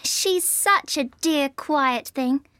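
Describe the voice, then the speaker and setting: A man's voice: very high voice, expressive, measured pace, fairly bright tone, dry recording, studio quality, voice pushed up, full character voice. A man voicing a polite, eager young storybook girl who chatters in a gushing, apologetic way. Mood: fond, dreamy. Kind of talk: storybook character reading.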